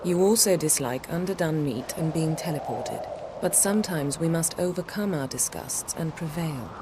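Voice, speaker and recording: A woman's voice: deep voice, normal speed, background noise, close to an everyday voice. An actress playing the hero's poised, witty and affectionate female companion in a video game, composed and teasing.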